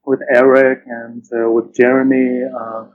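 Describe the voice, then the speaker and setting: A man's voice: medium pitch, flat delivery, unhurried. Muffled, noisy recording of a hesitant man. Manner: hesitant, repeats words, searching phrasing. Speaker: interview guest, literary translator. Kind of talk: conversational interview answers. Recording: dull lo-fi sound, loud-ish background noise.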